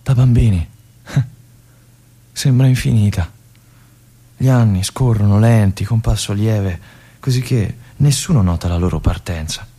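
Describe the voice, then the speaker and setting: An actor playing a lonely, obsessive army lieutenant in a stage monologue, musing aloud and swinging between brooding and feverish. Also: male voice, lowish voice, a little slow, pauses often, dark tone, breathy edge. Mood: melancholy. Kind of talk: theatrical monologue for radio.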